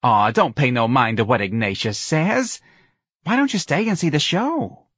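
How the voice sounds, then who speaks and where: A man's voice: medium pitch, lively intonation, normal speed, fairly bright tone, slightly rough, dry recording, studio quality, pitched above the performer's own voice. A man voicing a tipsy, folksy mother of a grown son, chatting in a bar. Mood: coaxing.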